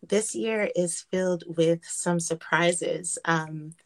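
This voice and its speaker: Low-pitched American-born woman, earnest. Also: slow pace, vocal fry. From United States. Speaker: gospel singer, radio guest. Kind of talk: conversational interview testimony.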